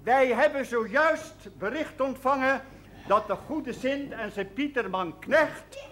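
A man playing a formal, flustered schoolmaster who addresses children haltingly and declaims in a television drama. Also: very high voice, expressive, exaggerated voice. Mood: flustered, commanding. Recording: loud crowd, very noisy old soundtrack.

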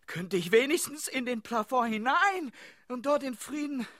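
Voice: high voice, animated, moderate pace, a bit bright. An actor playing a despairing, plaintive sufferer in an absurd radio play. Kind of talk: German radio play acting.

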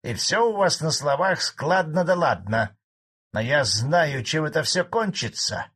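A cranky old farmhand, as a male reader puts him on, ranting gruffly in a raised, husky voice. He grumbles defiantly and louder than the storyteller around him. A bitter, stubborn old man in an audiobook. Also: on the high side, expressive, measured pace, pitched above the performer's own voice, exaggerated voice. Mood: grumbling. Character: grumpy old male collective farmer, spiteful.

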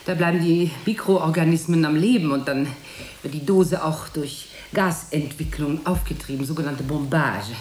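A woman's voice: low voice, normal speed, darkish tone, reverberant, slight background noise, lightly acted. A woman playing a middle-aged, worldly artist's wife in a radio drama, chatty, affected and patronising. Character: condescending, worldly artist's wife, secure and slyly needling. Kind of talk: German radio play acting.

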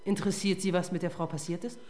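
Sardonic female detective, played by an actress, lowish voice. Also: steady tone, fast talker, a little mellow, lightly acted. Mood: dry, sarcastic. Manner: dry, sarcastic, pointed questioning. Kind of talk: German radio crime drama acting.

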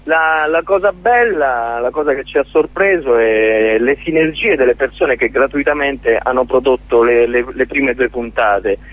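A man being interviewed as a guest about his theatre collective, talking in a halting way with many restarts and repeated words. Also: mid-range voice, no echo. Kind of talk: casual radio interview. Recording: tinny phone line.

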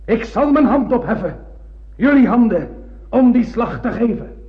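An actor playing an obsessed, blind older spaceship captain in a radio drama, declaiming poetically and grandly. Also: male voice, extremely high pitch, lively intonation, moderate pace. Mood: fanatical, fervent. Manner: declamatory, poetic, swinging from hushed to ranting. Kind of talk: theatrical radio drama monologue. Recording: muffled old radio play recording.

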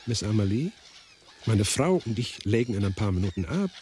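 A man playing a polite, persuasive married gentleman in a radio drama. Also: on the low side, sing-song, normal speed, a little hiss or hum. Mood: courteous, persuasive. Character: courteous married gentleman offering a governess post.

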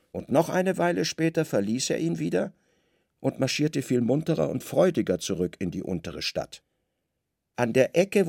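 Gravelly male narrator, dry studio sound. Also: medium pitch, a little mellow, no room sound. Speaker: audio drama narrator. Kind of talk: dramatic literary narration in a radio play.

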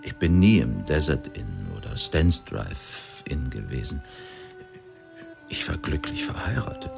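Elderly man, guileless and wistful, very deep voice, halting delivery, radio play, music behind. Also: exaggerated voice. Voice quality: gravelly. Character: widowed former teacher of seventy-two, guileless and wistful.

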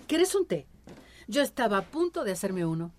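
A woman's voice: mid-range voice, very animated, brisk, slight background noise, close to an everyday voice. An actress playing a hospitable woman herbalist in a radio drama, chatty and welcoming. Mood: warm, welcoming.

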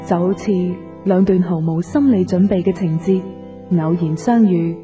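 A woman's voice: fairly low pitch, normal speed, dark tone. A woman with a Hong Kong Cantonese accent reading a scripted, lyrical radio drama introduction as announcer. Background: guitar music.

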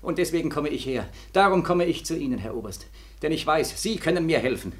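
An actor playing a bitter, sleepless young war veteran in 1940s German radio drama style, feverish and sardonic, repeating himself between weary murmurs and anguished cries. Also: male voice, fairly high pitch, animated, brisk. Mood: weary, pleading.

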